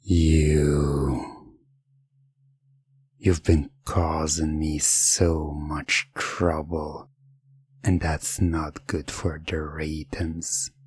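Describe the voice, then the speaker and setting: A man's voice: very deep voice, a little mellow, slightly rough, no echo, full character voice. A man playing a menacing, controlling villain game master in a hypnosis roleplay, commanding and dropping into whispers.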